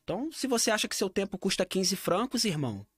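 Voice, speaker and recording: A man from Brazil with a Brazilian Portuguese accent, in a relaxed talk, often stumbling and repeating words as he searches for what to say. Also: sits high, fast, breathy edge, dry recording, clean studio sound. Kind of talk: casual conversation.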